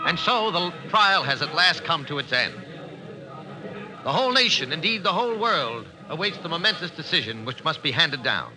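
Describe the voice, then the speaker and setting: A man's voice: on the high side, very animated, normal speed, music in the background, poor audio. An actor playing a grave, urgent male newscaster in a 1950s radio drama. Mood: grave, dramatic.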